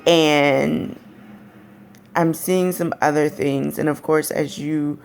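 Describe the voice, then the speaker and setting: A young woman with a raspy, deep voice, speaking candidly in a personal vlog. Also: fairly even intonation, slow, faint music behind. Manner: candid, frank and conversational. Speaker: vlogger. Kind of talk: personal storytelling to camera.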